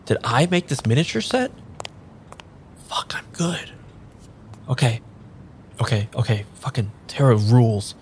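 A man's voice: mid-range voice, animated, measured pace, long pauses, slight background noise, natural voice. A man in an improvised audio drama playing a frantic, foul-mouthed amnesiac who stammers and mutters as he works through tarot cards. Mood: overwhelmed. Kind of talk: improvised audio drama, halting naturalistic delivery.

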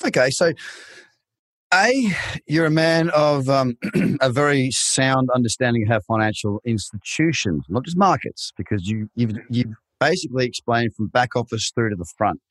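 A man's voice: medium pitch, animated, moderate pace, no room sound. Chatty male host, dry close sound. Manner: chatty, encouraging, rambling questions. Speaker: podcast host. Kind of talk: interview questions.

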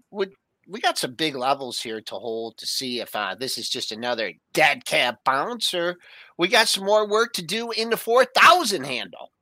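A man with a bright, lively voice rattles off market figures at a brisk clip. His pitch swings a great deal as he recaps the numbers. The sound is dry and close. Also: no room sound. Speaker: co-host.

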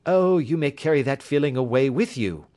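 A man reading an audiobook, voicing a sharp, aloof male detective in a crisp, assured and clipped manner. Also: medium pitch, animated, moderate pace, voice pushed up, lightly acted. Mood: grave.

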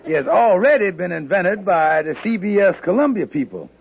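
High-pitched, creaky-voiced wheedling schemer. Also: male voice, very animated, normal speed, heavily acted. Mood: amused. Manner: folksy exaggerated dialect speech, coaxing. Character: fast-talking, wheedling husband and schemer, adult man. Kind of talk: 1950s radio sitcom acting. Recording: tinny, narrow-band old radio broadcast.

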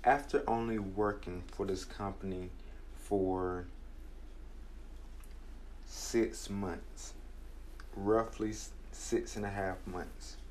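Slow, earnest young man. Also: lowish voice, steady tone, darkish tone, a little hiss or hum, rough recording. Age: 20s. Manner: earnest, measured, reflective. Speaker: podcast host. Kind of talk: motivational personal talk.

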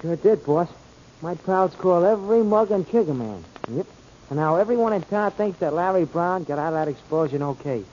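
An actor playing an eager, loyal, slangy underworld sidekick in a 1940s radio drama. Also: male voice, on the high side, animated, moderate pace, darkish tone, natural voice. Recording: muffled, noisy old radio recording.

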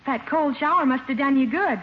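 A woman's voice: high voice, brisk, natural voice. An actress playing a kind, solicitous young woman, a niece in a 1950s radio sitcom. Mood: caring. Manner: gentle, solicitous. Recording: muffled, noisy 1950s radio recording.

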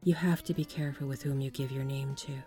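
A knowing paranormal sleuth, played by a woman, dry and matter-of-fact. Her voice is very low and mellow, in 1940s noir radio drama style, with faint music. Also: steady tone, fast.

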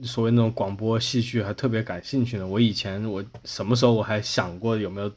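A man hosting a podcast, chatting casually in an animated, storytelling way. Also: lowish voice, quick pace. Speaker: podcast host. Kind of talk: casual panel chat.

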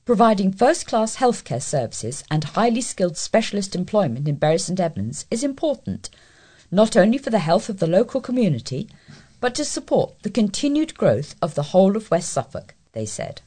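A woman's voice: mid-range voice, big pitch swings, normal speed, warm tone, breathy edge. A woman with a British accent reading scripted local news as a volunteer newsreader.

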